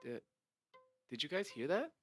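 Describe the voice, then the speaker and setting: A man's voice: on the high side, big pitch swings, measured pace, soft background music, pitched above the performer's own voice. A man voicing an anxious, hesitant teenage girl. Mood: nervous. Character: nervous teenage girl, uneasy.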